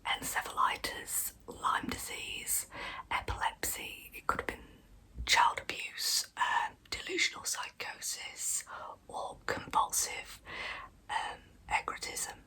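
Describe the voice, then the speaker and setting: A woman narrating true crime as ASMR in a soft, breathy whisper, reading in a measured way with wry, informal asides. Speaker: ASMR storyteller and channel host.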